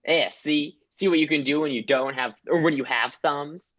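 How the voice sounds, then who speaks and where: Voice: mid-range voice, moderate pace, a bit bright, muffled recording, natural voice. A performer voicing a smug, gloating human who lords it over dogs in a comedy radio play. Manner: gloating, mock-villainous.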